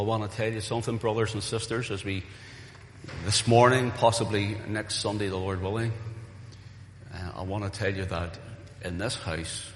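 Low-voiced preacher in an echoing church hall. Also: male voice, slight background noise. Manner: earnest, builds to emphatic calls to the congregation. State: weak voice, recovering from voice loss. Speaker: pastor. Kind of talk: sermon. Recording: roomy echo of a reverberant hall.

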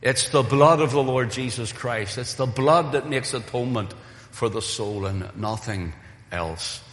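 A man's voice: medium pitch, unhurried, fairly bright tone. A pastor preaching a Sunday sermon, earnest and rising to emphatic calls to the congregation, his voice a little weak from recent voice loss. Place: church hall. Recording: roomy echo of a reverberant hall.

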